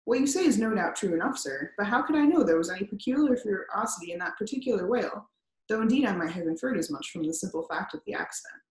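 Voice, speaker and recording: A woman's voice: medium pitch, lively intonation, quick pace, darkish tone, reverberant, lightly acted, poor audio. A woman voicing a polite, earnest young man looking to sign onto a ship.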